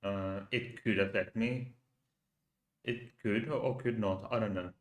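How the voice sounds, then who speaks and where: A slow, halting man with a Finnish accent talks loosely to his viewers, his voice sitting a little low. He restarts his thoughts often as he goes. The sound carries a roomy echo. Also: steady tone. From Finland. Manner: hesitant, halting with many restarts. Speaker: channel host. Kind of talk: casual live stream talk.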